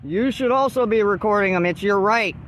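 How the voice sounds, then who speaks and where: A woman's voice: mid-range voice, a little fast. A woman auditor filming police at a roadside traffic stop on a handheld phone, lecturing officers about her rights in a defiant voice. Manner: defiant, lecturing, with forced laughs. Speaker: first amendment auditor. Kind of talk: confrontational street recording. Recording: faint outdoor traffic noise.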